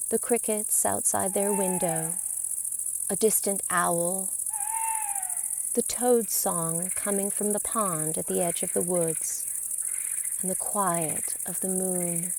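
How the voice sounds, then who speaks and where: A woman narrating a scripted story in a deliberate, theatrical way, with dramatic pauses and emphasis. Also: fairly low pitch, a little mellow, slight background noise. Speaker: podcast storyteller.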